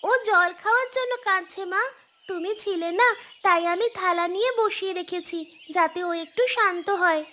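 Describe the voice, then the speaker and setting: Woman playing a very high-pitched, bossy village girl. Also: bright, forceful tone. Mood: pleading. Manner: scolding, quick to make excuses. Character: mischievous bossy village girl, an elder sister. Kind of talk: acted audio story dialogue.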